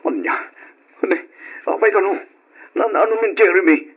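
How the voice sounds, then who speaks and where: A man's voice: high voice, moderate pace, fairly bright tone, breathy edge. A man playing an alarmed, devoted father and husband, urgent and agitated in the style of an old Ilocano radio drama. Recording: dull, lo-fi old radio recording.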